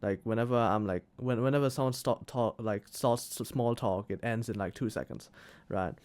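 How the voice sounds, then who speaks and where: Young Thai male co-host, English as a second language, smooth clear tone, halting delivery. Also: on the low side, darkish tone. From Thailand. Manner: halting, with restarts and "hella" slang. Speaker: podcast co-host. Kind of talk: casual chat.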